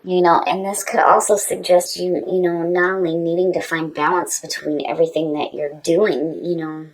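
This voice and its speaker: Low-voiced woman giving a casual tarot reading. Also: level tone, normal speed, low-quality recording. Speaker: tarot reader.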